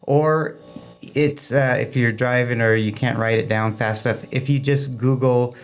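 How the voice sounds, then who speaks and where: A man's voice: medium pitch, steady tone, measured pace. A man talking casually as an interview guest for a hobby club, chatty and anecdotal in a rambling way. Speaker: amateur radio club representative, interview guest.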